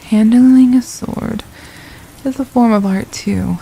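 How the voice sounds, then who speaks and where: A woman playing a possessive, condescending royal villain in an ASMR roleplay, speaking in a soft, silky, deliberate murmur with menace and mockery. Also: a little high, creaky, a little hiss or hum, low-quality recording. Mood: musing, condescending. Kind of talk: ASMR roleplay, intimate scripted monologue.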